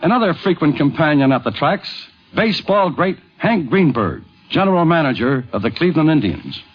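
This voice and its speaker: American man, radio host, animated delivery, documentary narration, dull 1950s broadcast sound. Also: on the high side, moderate pace, slightly rough.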